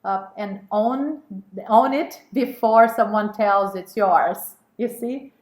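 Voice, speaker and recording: A woman's voice: medium pitch, takes it slowly. A woman guest, a corporate managing director, talking in an interview with frequent restarts and repeated words. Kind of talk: interview conversation. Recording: roomy echo.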